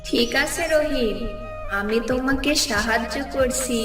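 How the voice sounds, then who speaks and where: A woman voicing a benevolent magic mirror spirit in a cartoon fairy tale, giving calm, measured instructions. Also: a little high, echoey room, far from the mic, over music, natural voice. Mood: calm, solemn.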